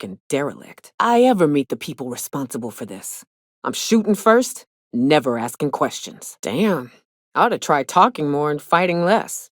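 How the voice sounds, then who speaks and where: A woman's voice: sits low, no room sound, studio quality. A woman playing a brash, sardonic gunslinger from a space-colony crew in a video game, with a wry, drawling, folksy, sweary delivery. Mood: somber, subdued. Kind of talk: video game voice acting, short companion lines.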